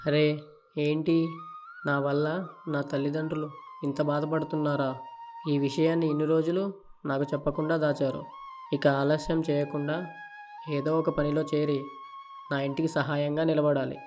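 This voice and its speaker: A man's voice: a little high, flat delivery, moderate pace, long pauses, darkish tone, faint music behind, natural voice. A man playing a dejected, dutiful young son in a cartoon story, speaking earnestly and sorrowfully. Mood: sad, earnest. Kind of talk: Telugu children's cartoon dialogue.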